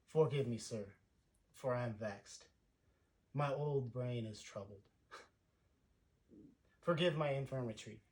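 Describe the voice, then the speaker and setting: A troubled old sorcerer, brought to life by a male actor, speaks classical verse at a slow pace. His voice sits in an ordinary male register. The delivery swells grandly and then falls away to a hush. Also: mid-range voice, natural voice. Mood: grand, weary. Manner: declaiming, with big swells and hushed fades. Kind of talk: classical stage monologue.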